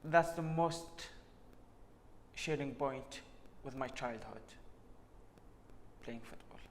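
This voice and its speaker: Soft-spoken young man from Syria. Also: medium pitch, slow, long reverb tail. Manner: short, plain answers, voice trailing off quietly. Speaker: interviewee. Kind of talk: casual interview answers.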